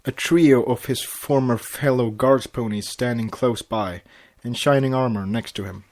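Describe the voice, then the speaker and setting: A man with a mid-pitched voice reads fiction aloud. He narrates in a storybook style, as a podcast host sharing a tale. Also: unhurried, a little mellow. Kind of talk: storybook narration with acted dialogue.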